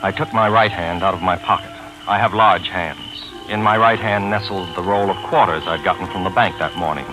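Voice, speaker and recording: Actor as a wry, pompous low-voiced gentleman sleuth. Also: fairly even intonation, brisk, natural voice, rough recording. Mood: measured, wry. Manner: formal, genteel, mock-pompous diction. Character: wry, pompous gentleman sleuth narrating his own caper. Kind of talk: 1940s radio drama acting, first-person narration. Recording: 1940s radio recording with music behind.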